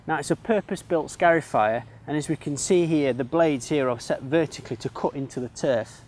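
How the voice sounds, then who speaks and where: A man's voice: fairly high pitch, a little fast, darkish tone, slight background noise. A man explaining and demonstrating as an instructor in an educational film.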